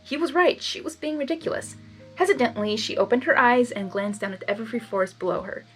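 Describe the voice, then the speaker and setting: A woman reading fan fiction aloud expressively, breaking into chatty, giggly, excitable asides. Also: a little high, fast talker, soft background music, poor audio. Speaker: story reader.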